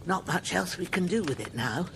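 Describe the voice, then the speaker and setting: A gruff old village healer. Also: female voice, fairly low pitch, animated, normal speed, a bit bright, slight background noise. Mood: caring. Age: older. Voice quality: folksy, scolding, plain rustic speech. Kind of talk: video game dialogue.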